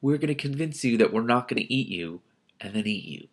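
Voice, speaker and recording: A man voicing a creepy male ogre from a storybook, coaxing and soothing with quiet menace. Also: mid-range voice, lively intonation, brisk. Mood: soothing, sinister.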